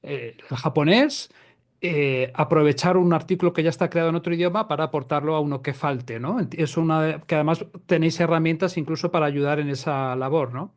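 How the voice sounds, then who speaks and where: A man hosts an interview. His voice sits a bit high for a man, and he frames his questions in a loose, conversational style. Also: brisk. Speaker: interviewer, host.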